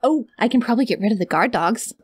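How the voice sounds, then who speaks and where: A sweet, clingy yandere kidnapper played by a woman, with a high voice recorded very dry and close. She rambles in an awkward, adorkable, gushing way, as in an ASMR audio roleplay spoken to her captive. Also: animated, quick pace, breathy edge, no room sound. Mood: giddy, adoring.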